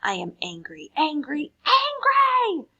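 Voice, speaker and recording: A woman's voice: high voice, huge pitch swings, takes it slowly, pushed and bright, dull audio, pitched above the performer's own voice, heavily acted. A woman voicing a furious, melodramatic girl from a children's poem, emphatic and given to shrieking.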